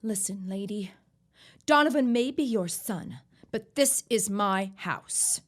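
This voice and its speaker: A woman acting a proud young bride in an audio drama, with an earnest, deliberate and emphatic delivery. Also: medium pitch, expressive. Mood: indignant. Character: proud, indignant young bride standing her ground.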